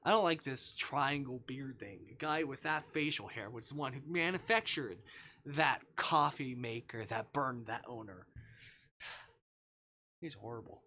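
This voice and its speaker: A male livestreamer reads a visual novel aloud and comments casually on it. His voice is crisp and bright, at an average pitch. Faint noise runs behind him. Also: animated, a little slow.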